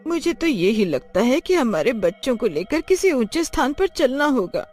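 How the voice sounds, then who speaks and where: A woman voices a protective cartoon village mother with an extremely high-pitched voice. She is distrustful and sharp-tongued, delivering her dialogue emphatically. Light music sits behind her. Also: very animated, moderate pace. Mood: anxious, accusing.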